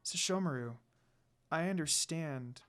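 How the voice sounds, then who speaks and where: A man voicing a kind, gentle young woman in love in a romance story, speaking softly. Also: on the high side, a little slow. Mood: gentle, affectionate.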